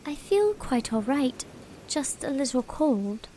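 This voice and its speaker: A woman's voice: sits high, sing-song, slow, mellow, slight background noise, full character voice, low-quality recording. A woman playing a breezy, strong-willed young woman. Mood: confused. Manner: breezy, commanding, dismissive. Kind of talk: audio drama acting.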